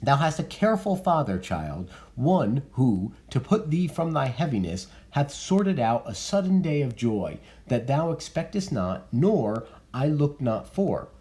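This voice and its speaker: A man reading the part of a stern, vengeful noble mother in a raised, measured theatrical voice. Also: medium pitch, sing-song, warm tone, pitched above the performer's own voice. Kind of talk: dramatic reading of verse.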